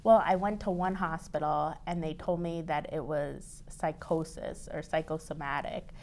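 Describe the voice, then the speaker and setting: A young woman, a guest on a podcast, telling lively stories. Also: low-pitched, moderate pace. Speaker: guest, runner. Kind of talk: casual podcast chat.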